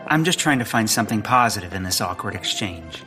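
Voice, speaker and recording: An actor playing an earnest, bumbling amateur sleuth in a comedic period audio drama, full of awkward bravado. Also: male voice, lowish voice, lively intonation, brisk, bright, forceful tone, faint music behind. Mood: placating, awkward.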